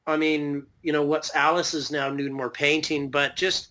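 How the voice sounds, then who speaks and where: Casting note: thirties male guest, somewhat high voice, loose rambling delivery, swears casually. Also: little pitch movement, normal speed, bright, forceful tone. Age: 30s. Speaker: podcast guest. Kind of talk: casual chat.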